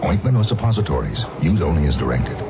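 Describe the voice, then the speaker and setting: A man reads a radio ad script in a deep voice with wide, lively inflection. Music plays clearly behind the muffled old sound. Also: quick pace. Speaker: commercial spokesman.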